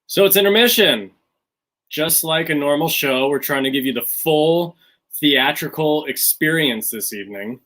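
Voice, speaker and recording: A man's voice: a little high, normal speed, a bit bright. Casual man hosting a livestream. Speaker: host of a theatre's online fundraiser. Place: remote online stream. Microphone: video call.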